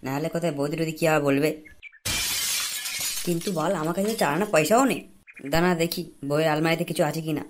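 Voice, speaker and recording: A woman voicing a lecherous, sneaky, boastful young cartoon man with a West Bengal Bengali accent, slangy and excitable. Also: deep voice, fast, long pauses, background noise, natural voice. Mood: leering, scheming. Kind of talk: comic cartoon dialogue.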